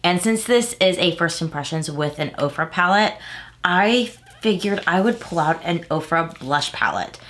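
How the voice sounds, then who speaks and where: Woman, 30s, deep for a woman, slightly nasal, chatty, self-correcting, casual tutorial. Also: expressive, normal speed, fairly bright tone, slight background noise. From Houston, Texas. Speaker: beauty vlogger. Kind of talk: casual makeup tutorial chat. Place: small room at home, indoors.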